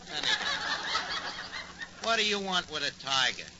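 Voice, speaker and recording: Brash high-pitched New York tavern manager. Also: male voice, normal speed. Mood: exasperated. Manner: mangles grammar, mock-formal flattery. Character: brash, malapropping, smooth-talking tavern manager. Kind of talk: 1940s radio comedy acting. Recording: noisy muffled 1940s radio recording.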